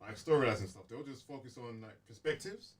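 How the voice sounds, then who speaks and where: A man's voice: medium pitch, brisk, distant mic. A man chatting casually as a podcast co-host, hesitant and reflective. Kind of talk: casual chat.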